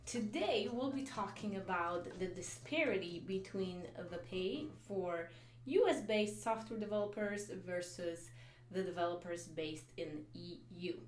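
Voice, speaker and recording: A woman's voice: mid-range voice, animated, a little slow. Woman vlogging in a roomy echo. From Poland. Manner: earnest, thinking aloud with fillers and restarts. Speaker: career advice vlogger, software engineer. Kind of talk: casual explanatory vlog talk.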